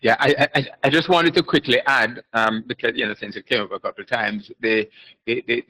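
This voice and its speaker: A man on a seminar panel quickly adding a point, stammering through restarts. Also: mid-range voice, fairly even intonation, bright, forceful tone, low-quality recording. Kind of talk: panel discussion.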